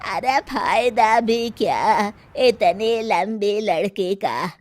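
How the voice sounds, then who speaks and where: A woman's voice: mid-range voice, a little mellow. A woman voicing a mocking, preachy old village aunt in a cartoon story, drawing out her scolding. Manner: drawn-out, proverb-quoting scolding.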